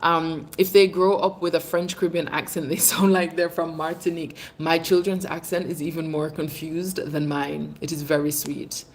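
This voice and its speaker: A woman's voice: low-pitched, little pitch movement, moderate pace, hardly any pauses, a little hiss or hum. A woman in her thirties from England with a mixed British and Jamaican accent, chatting casually on a livestream and fondly calling viewers babes and darling. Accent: British English mixed with Jamaican. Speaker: livestream host. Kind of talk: casual live chat, reading viewer comments.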